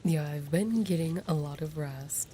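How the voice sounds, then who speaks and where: A woman with a stuffy nose, chatting softly and casually while hosting a gameplay video. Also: deep voice, a little slow, a little hiss or hum. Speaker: gaming video host.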